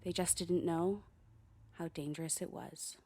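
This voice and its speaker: A woman's voice: fairly low pitch, fairly even intonation, a little slow, noisy background. An actress playing a blunt, sardonic female coast guard officer who narrates her own investigation in a radio drama. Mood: dry, uneasy. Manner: dry, curt, sardonic.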